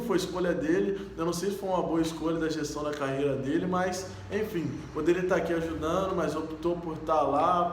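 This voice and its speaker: High-voiced Brazilian fan host, echoey room. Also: male voice, a little hiss or hum. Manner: eager fan, talks of the club as "our". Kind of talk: casual sports news roundup. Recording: roomy echo.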